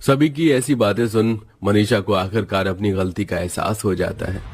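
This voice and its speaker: A man's voice: lowish voice, steady tone, normal speed. A man narrating a scripted moral story as its storyteller.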